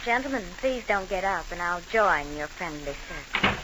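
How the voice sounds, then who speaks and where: A woman's voice: fairly low pitch, expressive, moderate pace, lightly acted. Actress as a poised, ghostly woman through heavy noise. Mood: serene, coaxing. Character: silky, composed, ghostly seductive woman. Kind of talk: old-time radio mystery acting. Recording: distant, very noisy vintage radio recording.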